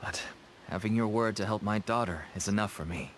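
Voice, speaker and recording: A man's voice: lowish voice, a little fast, slight background noise, close to an everyday voice. An actor playing a weary father turned smuggler in a video game, earnest and resigned. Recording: soft game music behind.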